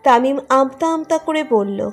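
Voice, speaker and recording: A woman's voice: high voice, expressive, a little slow. A woman reading a scripted romantic story as its narrator. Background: music under the narration.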